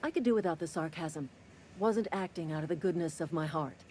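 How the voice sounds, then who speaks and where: Jaded, curt female labourer played by a woman, lower register, dry deadpan, video game, faint noise behind. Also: brisk, lightly acted. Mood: deadpan, cynical. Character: gruff, jaded female company-town worker, curt and cynical. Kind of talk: video game dialogue acting.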